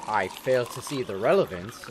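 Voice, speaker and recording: A man's voice: medium pitch, animated, moderate pace, slight background noise, close to an everyday voice. A man playing a skeptical, officious town councilman in a fantasy audio drama, speaking formally. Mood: impatient, formal.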